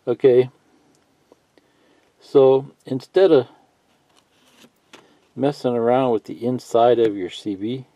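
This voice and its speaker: A man giving a casual, unscripted how-to tutorial, thinking out loud in a halting, folksy way and reading bits of instructions aloud. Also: medium pitch. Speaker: hobbyist instructor. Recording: muffled lo-fi sound, roomy echo.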